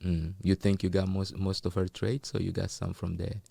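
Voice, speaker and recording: A man's voice: low voice, completely flat delivery, moderate pace, gravelly, dark tone, slightly rough, no echo. A man hosting an interview show, encouraging his guest with frequent affirmations. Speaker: show host.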